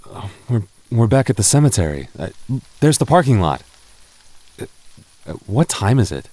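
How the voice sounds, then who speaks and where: An actor playing a gentle, nervous goth boyfriend in an ASMR roleplay, soft-spoken and stammering when flustered. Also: male voice, on the low side, very animated, normal speed, no echo.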